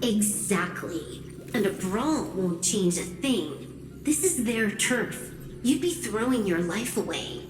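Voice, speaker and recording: A woman's voice: mid-range voice, lively intonation, takes it slowly. An actress voicing a cool, cautious young woman hacker and strategist in an anime game, dry and matter-of-fact. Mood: firm, cautioning. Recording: echoey room, music behind. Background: game music.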